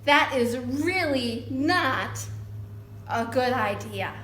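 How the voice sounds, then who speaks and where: Woman as high-pitched, insistent little storybook chicks. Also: expressive, fairly bright tone, exaggerated voice. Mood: worried, emphatic. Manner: emphatic, drawn-out, pleading. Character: small, worried, insistent chicks warning their mother. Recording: roomy echo with background noise.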